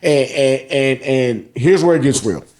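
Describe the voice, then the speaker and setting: A man's voice: medium pitch, animated, measured pace, darkish tone. An American man hosting a casual, slangy manga review, swearing freely and repeating phrases for emphasis. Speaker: host of a manga review show. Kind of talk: casual, slangy chapter recap commentary.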